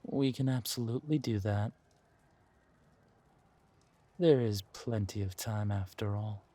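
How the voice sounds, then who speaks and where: A man's voice: on the low side, measured pace, dark tone. A man acting a menacing, seductive villain king in a roleplay audio drama, with a theatrical, commanding drawl. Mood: smug, seductive.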